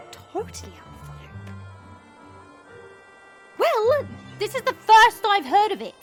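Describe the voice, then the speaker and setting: A woman's voice: very high voice, heavily acted. A woman playing a smirking, sarcastic monster woman who chats and mocks in an audio drama. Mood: teasing, nonchalant. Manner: sarcastic, mocking, chatty. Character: smirking, teasing monster woman, short and muscular.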